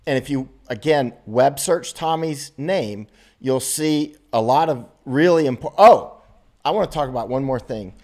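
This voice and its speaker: A man's voice: mid-range voice, moderate pace, a little mellow. An American man hosts a casual remote interview in a mid-range voice. He talks loosely and chattily and laughs easily between points. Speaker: host.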